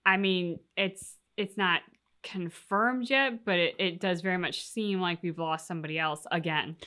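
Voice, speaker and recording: A woman co-hosting a reaction video, talking casually and reasoning aloud in a measured way. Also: fairly low pitch, sharp tone.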